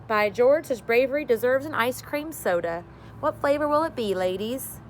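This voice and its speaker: A woman's voice: fairly high pitch, expressive, moderate pace, slight background noise, voice pushed up, lightly acted. A woman voicing a jolly, gallant man from a children's storybook in a hearty, exclaiming manner. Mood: delighted.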